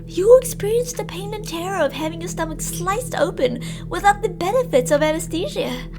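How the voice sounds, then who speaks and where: High-pitched unhinged cannibal woman. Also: animated, music in the background. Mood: menacing, gloating. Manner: theatrical, taunting, drawn-out delivery. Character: unhinged gloating female cannibal killer, mock-sweet and theatrical. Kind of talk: scripted ASMR roleplay monologue.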